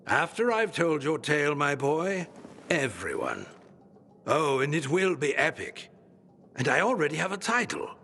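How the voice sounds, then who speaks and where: A man's voice: fairly high pitch, sing-song, a little slow, sharp tone, long reverb tail, a little hiss or hum, exaggerated voice. An actor playing a grandiose, theatrical old male scholar who speaks in pompous, flowery phrases. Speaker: book-collecting patron.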